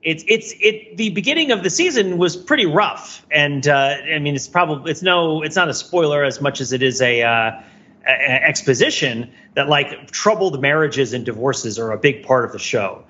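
A man's voice: fairly high pitch, lively intonation, moderate pace, bright, forceful tone, muffled recording. A man talking casually as a podcast co-host in a rambling, self-deprecating, wry way. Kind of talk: casual conversational podcast chat.

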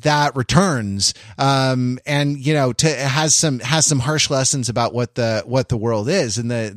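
An American man talks pop culture as a podcast panelist. His voice sits at a medium pitch, and he wanders through digressions, leaving thoughts half finished. The sound is very dry, with no room on it. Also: fairly bright tone, no echo. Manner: digressive, discursive, trailing off mid-thought. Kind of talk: casual pop culture discussion.

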